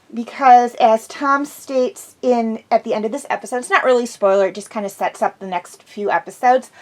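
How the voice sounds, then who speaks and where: A woman host chatting casually and enthusiastically through an episode review, slightly congested from a cold. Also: on the high side.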